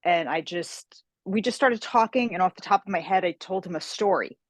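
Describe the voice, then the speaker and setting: A woman's voice: on the low side, moderate pace, no echo. A woman guest talking about her work as a fantasy author, chatty and enthusiastic, on a video call. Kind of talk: casual interview conversation.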